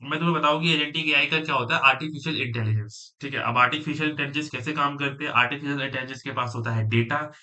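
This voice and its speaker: A young man from Delhi with an Indian accent, a native Hindi speaker, chatting casually on a coding livestream and rambling quickly with many fillers. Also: on the high side, sharp tone. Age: young adult. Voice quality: rapid, rambling, filler-heavy talk. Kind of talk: live stream chat, mixing Hindi and English.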